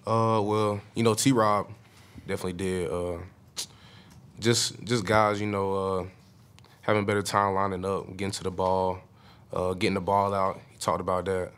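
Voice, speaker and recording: A man's voice: deep voice, flat delivery, moderate pace, fairly bright tone, clear, resonant tone. A young man, a college football player, earnestly answering press questions after a game in an informal way, with many "uh"s. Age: young adult. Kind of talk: postgame press conference answers. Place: press room in front of a logo backdrop. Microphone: table mic on a stand.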